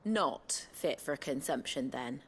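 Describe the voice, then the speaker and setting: A woman's voice: a bass voice, animated, slow pace, slightly rough, heavily acted. A woman playing a down-to-earth, teasing cook in a fantasy video game. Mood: brisk, teasing. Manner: wry, plain-spoken.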